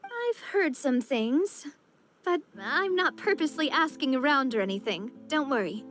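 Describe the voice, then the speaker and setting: An actress playing a confident, friendly, well-informed young gang leader in a video game, assured and expressive. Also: female voice, high-pitched, normal speed. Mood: reassuring. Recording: music behind the voice.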